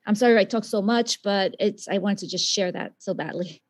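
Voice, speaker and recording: A woman's voice: mid-range voice, a little fast. A woman from the South Bronx speaking as a scientist and teacher on a webinar panel, passionate and emphatic in her answer. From South Bronx, New York.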